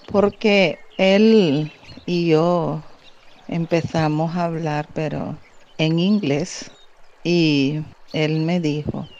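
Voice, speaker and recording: A Miskito-speaking woman from Nicaragua, answering interview questions in an earnest, deliberate way. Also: low-pitched, mellow. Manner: earnest, deliberate, frequent "¿verdad?" tag. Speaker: guest. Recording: muffled lo-fi audio.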